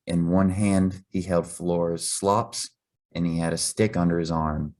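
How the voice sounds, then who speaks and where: Low-pitched man reading a storybook. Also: fairly even intonation, a little slow, darkish tone. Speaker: reader. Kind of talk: scripted storybook narration.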